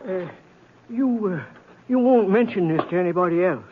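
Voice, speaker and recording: An actor playing a timid, henpecked older bank teller in a radio western, stammering nervously with a folksy American accent. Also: male voice, sits high, lively intonation, normal speed, a little hiss or hum. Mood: nervous, evasive. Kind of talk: old-time radio western acting. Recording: tinny, narrow-band old radio broadcast.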